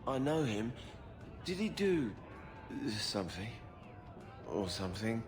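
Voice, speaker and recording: A dazed but dutiful soldier in a fantasy game. He talks very slowly and haltingly, in a fairly high, swooping voice, sounding confused, with music behind him. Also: big pitch swings. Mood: dazed, hesitant. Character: dazed, slow-witted, dutiful male guard. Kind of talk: fantasy video game acting.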